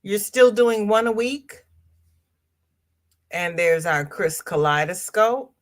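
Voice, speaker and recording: A woman's voice: medium pitch, animated, takes it slowly. A congested woman hosting a casual live crafting chat, greeting viewers by name and reading out their comments. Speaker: livestream host.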